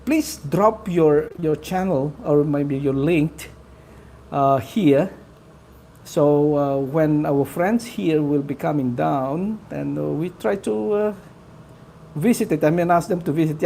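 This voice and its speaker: A man's voice: a little high, gravelly, dark tone. Guy live streaming, hesitant, lots of uhs, faint noise behind. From Philippines. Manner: halting, full of fillers, friendly to viewers. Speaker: livestream host. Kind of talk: casual live-stream chat with viewers.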